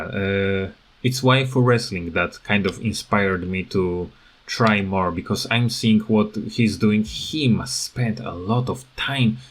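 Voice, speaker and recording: A man who speaks English as a second language, with a foreign accent, giving rambling, halting, unscripted commentary as a gaming video creator. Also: lowish voice. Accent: non-native English. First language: not English. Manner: hesitant, halting, repeats words.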